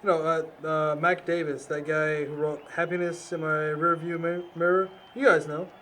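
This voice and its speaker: A man's voice: fairly high pitch, little pitch movement, normal speed, pushed and bright. A man acting a shy, earnest young newcomer in an amateur audio drama, speaking politely and hesitantly over background noise. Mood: sheepish. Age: young adult.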